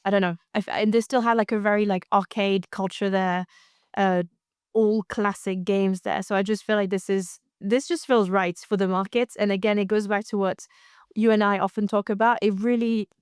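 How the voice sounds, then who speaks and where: Clear-voiced woman co-hosting, close and dry. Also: medium pitch, steady tone, normal speed, no echo. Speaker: co-host. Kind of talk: conversational industry news discussion.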